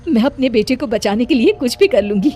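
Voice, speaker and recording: A woman playing an anguished, devoted village mother in an animated story, speaking with earnest, melodramatic pleading. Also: a little high, brisk, lightly acted. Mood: determined. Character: anguished, devoted village mother determined to save her son. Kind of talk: animated Hindi moral story drama. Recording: music under the voice.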